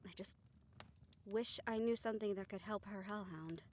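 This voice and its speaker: A woman's voice: medium pitch, normal speed, close to an everyday voice. A woman acting a good-natured young spellcaster in an audio roleplay, talking in a casual, folksy, wry way. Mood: earnest.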